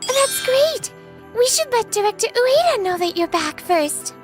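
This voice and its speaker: A woman playing a loyal, excitable young office junior in a manga dub. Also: very high-pitched, measured pace, soft background music, full character voice. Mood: bright, relieved.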